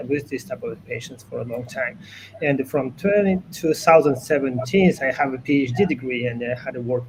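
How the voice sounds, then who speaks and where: A man from Russia with a Russian accent, a neurologist guest on a live online talk show, explaining carefully and haltingly over a video call. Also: mid-range voice, darkish tone, slight background noise, low-quality recording.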